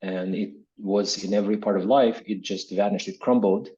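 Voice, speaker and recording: A man from Kazakhstan in his forties speaks as an interviewed academic. His voice sits low and he explains things reflectively, recalling his own life and tagging points with small checking questions. The audio is a little dull and lo-fi. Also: steady tone, normal speed, mellow. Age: 40s. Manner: reflective, explanatory, often tags phrases with "right?". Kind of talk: conversational interview answers with personal recollection.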